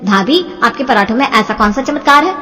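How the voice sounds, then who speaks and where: A woman's voice: fairly high pitch, animated, fairly quick, sharp tone, faint music behind. A woman voicing an earnest, put-upon young daughter-in-law in a moral-story cartoon. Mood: conspiratorial. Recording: long hall-like reverb.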